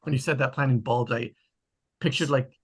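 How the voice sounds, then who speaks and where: A man with a slightly husky voice, casually hosting an online table read and narrating the stage directions. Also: mid-range voice, steady tone, fairly quick. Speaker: host and stage-direction reader. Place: online video call.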